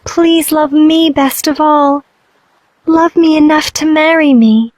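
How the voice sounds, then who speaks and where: High-voiced, lovelorn young mermaid. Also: female voice, fairly even intonation, slow, a little mellow, dry recording. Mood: pleading. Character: young mermaid princess, lovelorn, yearning, gentle. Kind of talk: children's storybook character acting.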